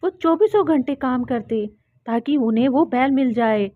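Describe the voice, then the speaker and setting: A woman's voice: high voice, moderate pace, dry recording, narrow, tinny audio. A woman reading scripted narration for a children's cartoon story.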